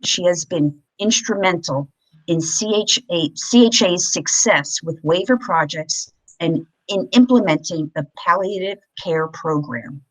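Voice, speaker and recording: A woman hosting a video-call town hall, giving a measured, formal introduction with a few slips and fillers. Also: fairly low pitch, expressive. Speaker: event host introducing moderator. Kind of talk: formal introduction, read from notes.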